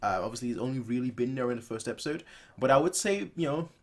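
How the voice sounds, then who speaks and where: A man's voice: mid-range voice, animated, quick pace. Chatty young man, rambling casually. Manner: chatty, rambling, repeats phrases. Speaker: reaction video host. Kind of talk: casual commentary, reacting to a cartoon. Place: small bedroom, indoors.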